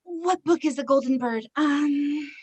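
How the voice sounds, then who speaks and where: A woman acting an excitable, eager player of a guessing game in an amateur play reading. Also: high-pitched, unhurried, no echo. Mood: excited guessing. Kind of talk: amateur play reading over video call.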